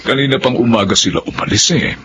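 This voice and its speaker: A man's voice: mid-range voice, quick pace, close to an everyday voice. A man playing a kindly, trusting father of a grown daughter in a radio drama, speaking earnestly. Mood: chatty. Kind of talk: Tagalog radio drama acting. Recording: clearly noisy background.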